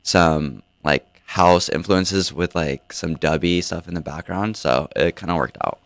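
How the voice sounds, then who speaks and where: A young man with a very low, smooth voice answers questions in a radio studio. He talks in a laid-back, meandering way, leaning on "like" again and again. The sound is close and dry. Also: measured pace, a bit bright, no room sound. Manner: laid-back, many "like" fillers. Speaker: DJ and podcast host guest. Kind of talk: casual interview answers.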